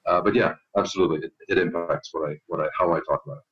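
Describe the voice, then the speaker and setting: A man's voice: deep voice, steady tone, racing, dull audio. A man from Germany, an executive coach answering interview questions conversationally and emphatically, repeating phrases to stress them.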